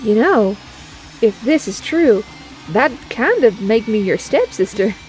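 Young team heroine actress: higher female voice, familiar and candid, music bed behind. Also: big pitch swings, a little slow, a little mellow, low-quality recording. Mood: warm, teasing. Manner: informal, familiar. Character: frank, affectionate female mutant hero. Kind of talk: audio drama acting.

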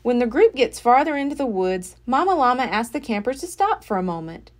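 A woman giving an expressive read-aloud of a children's picture book. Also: a little high, a little fast. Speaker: storybook reader.

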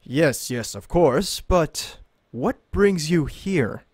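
Male actor as eccentric philosopher, highish voice, swooping intonation, dry close sound, condescending, audio drama. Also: animated, extremely slow, a little mellow, breathy edge, no echo, full character voice. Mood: musing. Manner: theatrical, pondering, condescending. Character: eccentric paranoid drifter man, philosophical and theatrical.

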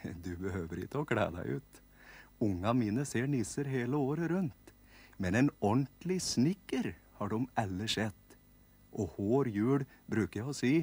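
A man voicing a kindly male Christmas gnome who talks calmly and persuasively. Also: fairly low pitch, slightly rough. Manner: calm, coaxing.

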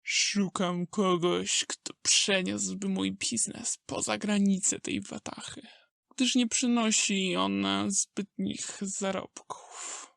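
A woman playing an old male wolf in a Polish audio drama, a smooth, contemptuous schemer with a creaky voice and an insinuating manner. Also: medium pitch, steady tone, takes it slowly, fairly bright tone, dry recording, studio quality, heavily acted. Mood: scornful, persuasive.

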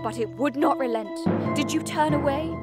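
An actress playing a bold young noblewoman in an audio play, accusing her suitor with deliberate theatrical poise. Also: high-pitched, over loud music, lightly acted.

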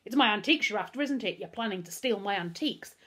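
A woman's voice: mid-range voice, lively intonation, fast talker, fairly bright tone, breathy edge, voice pushed up. A woman voicing a suspicious, bullying man who snarls accusations and threats in a children's audiobook. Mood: accusing, menacing.

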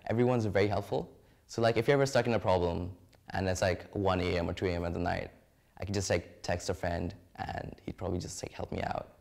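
A man's voice: low-pitched, fairly even intonation, quick pace, vocal fry, a little mellow. A young man from Hong Kong, an engineering student talking casually in an interview. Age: young adult.

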